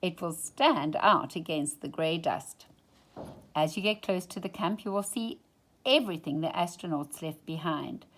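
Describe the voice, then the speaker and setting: A woman reading a children's picture book aloud in an expressive, dramatic storytime style. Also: on the low side, measured pace, slight background noise. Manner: dramatic, drops into hushed whispers for suspense. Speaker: storytime reader.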